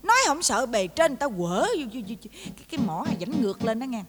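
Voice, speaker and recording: An exasperated, sharp-tongued wife with a southern Vietnamese accent in a domestic comedy drama. Her woman's voice sits high and moves in lively swings as she nags with sarcasm. Music plays underneath. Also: quick pace. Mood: griping, gossipy. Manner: sarcastic, nagging, folksy exclamations. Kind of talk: comedic stage-style domestic drama acting.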